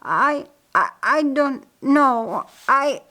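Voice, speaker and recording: A woman plays an ailing brother in an audiobook story, a man with a teasing streak. His speech is slow and halting, full of stammers, and pitched a little high. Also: animated, sharp tone, heavily acted. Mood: dazed, pained.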